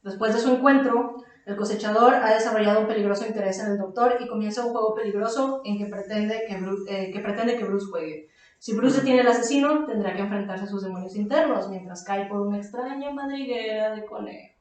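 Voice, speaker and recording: A woman's voice: medium pitch, fairly quick. A woman with a Mexican accent co-hosting a podcast, chatting casually about comics and audio drama. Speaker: podcast co-host. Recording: very echoey room, far from mic.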